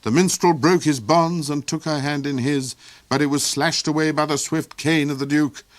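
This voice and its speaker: A man narrating a fairy tale in an unhurried, expressive storytelling manner. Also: on the high side, no room sound. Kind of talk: storybook reading.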